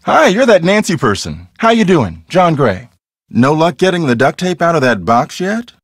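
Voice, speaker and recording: An animated, self-assured ghost hunter. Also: male voice, a little high, moderate pace, no room sound, studio quality. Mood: friendly. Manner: matter-of-fact, explanatory, conversational. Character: self-assured, earnest male ghost hunter with pseudo-scientific theories. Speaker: voice actor in a mystery game. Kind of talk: acted video game dialogue.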